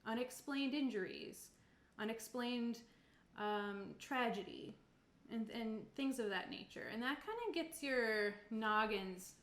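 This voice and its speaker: A woman's voice: on the high side, lively intonation, slow, a bit bright. A woman from Cape Breton Island in Canada, narrating a tabletop game in an informal, playful, conversational way. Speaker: game master of a tabletop roleplaying stream. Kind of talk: casual game narration and chat. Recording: echoey room.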